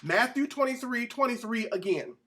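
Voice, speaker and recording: A man's voice: very high-pitched, lively intonation, normal speed, a bit bright. A man giving an informal Bible-teaching sermon as a presenter, speaking emphatically, repeating phrases and putting questions to his listeners.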